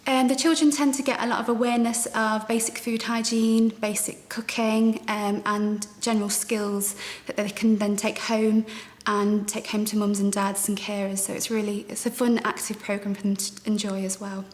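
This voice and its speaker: Woman speaking even n measured, flat tone, echo tail. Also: mid-range voice. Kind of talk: interview answers. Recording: reverberant room.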